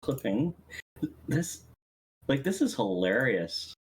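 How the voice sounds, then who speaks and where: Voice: mid-range voice, sing-song, takes it slowly, husky. A friend on a voice call, teasing and talking through audio settings. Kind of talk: casual chat.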